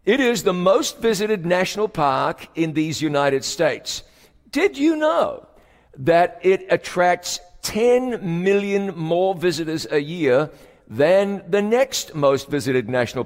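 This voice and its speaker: Pastor, male, somewhat high voice, folksy storyteller who turns stories into lively play-by-play, long hall reverb. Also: slow pace. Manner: leads congregation in prayer. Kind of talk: sermon. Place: large church auditorium. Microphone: stage microphone with monitors.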